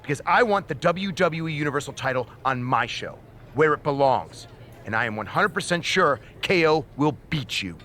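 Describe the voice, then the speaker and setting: A man's voice: fairly high pitch, expressive, normal speed, pushed and bright, a little hiss or hum. A man playing a smug, scheming wrestling manager in a video game, condescending, needling and theatrical. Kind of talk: scripted video game cutscene acting.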